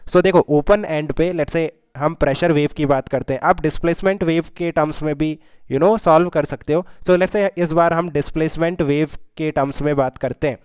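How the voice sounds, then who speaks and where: Slow, clear-voiced Indian man teaching. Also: a little high, a little mellow, no echo. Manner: explanatory, frequent check-in questions. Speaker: physics tutor. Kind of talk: step-by-step problem-solving lesson, mixing two languages.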